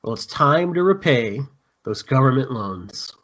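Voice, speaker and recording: A man's voice: medium pitch, expressive, unhurried, a bit bright, lo-fi sound. A man playing a stern, commanding squad leader who gives clipped military orders in a script read. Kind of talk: screenplay table read.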